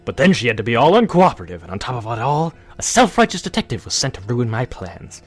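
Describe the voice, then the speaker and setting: A man's voice: mid-range voice, very animated, fast talker, slightly rough, faint music behind. A man playing a bitter, power-hungry pony guard turned traitor, sneering theatrically in a cartoon audio drama. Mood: scheming, ambitious. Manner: sneering, theatrical villain monologue. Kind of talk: scripted audio drama acting.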